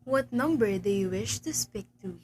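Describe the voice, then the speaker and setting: A woman's voice: mid-range voice, lively intonation, moderate pace, darkish tone, breathy edge. A young woman playing a polite, unmoved telephone operator in a radio play, speaking in formal set phrases. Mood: polite, impassive. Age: teenager.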